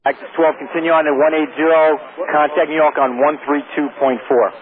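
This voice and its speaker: A man's voice: fairly high pitch, steady tone, brisk, narrow, tinny audio. A man working as an air traffic controller, giving clipped, businesslike instructions over radio. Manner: procedural, businesslike.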